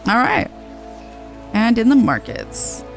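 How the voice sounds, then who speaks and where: A woman streams a game with a fairly high, very animated voice. Her commentary is casual and offhand, with some swearing, and music plays faintly behind her. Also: slow, vocal fry. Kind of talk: casual playthrough commentary with read-aloud dialogue. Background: video game music.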